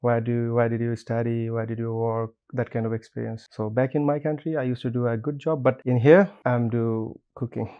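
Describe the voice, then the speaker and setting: A man's voice: medium pitch, flat delivery, normal speed, hardly any pauses, smooth, dull audio. A young man from Bangladesh, a guest in a casual interview, talking earnestly and a little tired, repeating himself for emphasis.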